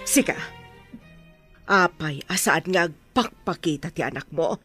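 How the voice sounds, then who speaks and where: A woman's voice: lowish voice, lively intonation, a little slow, music in the background. A woman with a Filipino accent playing an arrogant, scornful wealthy lady in a radio drama. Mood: haughty, scornful.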